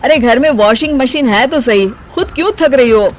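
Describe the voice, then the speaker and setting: A man plays a wisecracking young husband in an animated family tale. He sounds teasing, sarcastic and put-upon, and music runs under the voice. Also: extremely high pitch, moderate pace. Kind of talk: animated Hindi moral story voice acting.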